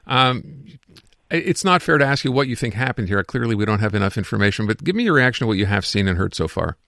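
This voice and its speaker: A man's voice: fairly low pitch, lively intonation, fast, vocal fry, fairly bright tone, dry recording, clean studio sound. A man hosting a live radio interview, courteous and careful in how he frames his questions, often restarting them. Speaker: radio news host, interviewer.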